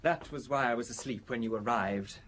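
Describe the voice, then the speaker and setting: An actor playing a fastidious, composed man in his thirties under police questioning, with a husky, precise voice in a radio drama. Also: mid-range voice, a bit bright, a little hiss or hum, close to an everyday voice. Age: 30s.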